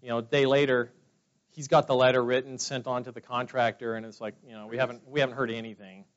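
A man's voice: mid-range voice, level tone, fast, a little hiss or hum. Middle-aged guy from california rambling through stories, lots of uh and you know, muffled lo-fi audio. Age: 40s. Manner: rambling, chatty, many fillers and restarts. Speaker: speaker at a business meeting. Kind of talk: casual personal testimony to a room. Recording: dull lo-fi sound.

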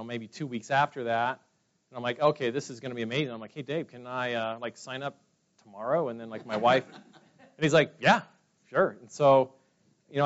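A man in his forties from California, telling personal stories to a meeting in a rambling, chatty way full of fillers. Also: medium pitch. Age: 40s. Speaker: speaker at a business meeting. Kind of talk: casual personal testimony to a room. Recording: dull lo-fi sound.

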